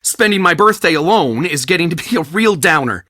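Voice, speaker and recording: Eccentric bookish curator, high, animated voice. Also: male voice, fairly quick, dry recording, clean studio sound. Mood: wounded, loud. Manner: theatrical, wordy, wryly dramatic. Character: eccentric, bookish, anxious male culture center curator Soren. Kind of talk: video game voice acting.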